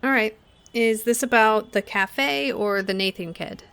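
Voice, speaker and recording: A woman's voice: on the high side, measured pace, fairly bright tone, smooth. A woman acting as a troubled, guarded young woman who stays polite, in naturalistic audio drama dialogue. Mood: polite, wary.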